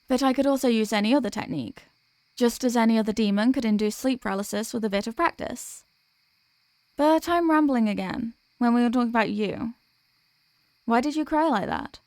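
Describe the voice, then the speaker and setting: A woman's voice: on the high side, dry recording, close to an everyday voice. A woman playing a teasing sleep paralysis demon who can be menacing or apologetic and tender, in an intimate ASMR audio roleplay. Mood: chatty, explaining. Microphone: close microphone, dry.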